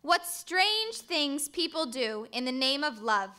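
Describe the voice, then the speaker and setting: Woman playing radio heroine, high bright voice, earnest, inquisitive, sympathetic, theatrical mystery-serial delivery. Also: sing-song, slow, full character voice. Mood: earnest, curious. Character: crime fighter's female companion, earnest, inquisitive, sympathetic. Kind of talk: old-time radio drama acting.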